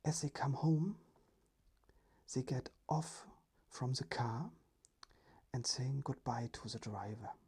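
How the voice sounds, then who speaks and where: A storyteller reading a children's story in a hushed, careful ASMR whisper. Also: medium pitch, takes it slowly, pauses often, breathy edge.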